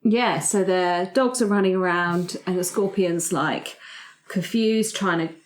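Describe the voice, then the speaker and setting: A woman's voice: fairly low pitch, unhurried, a little hiss or hum. A woman playing a tabletop roleplaying game, casually thinking out her moves and describing them. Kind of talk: casual improvised game play.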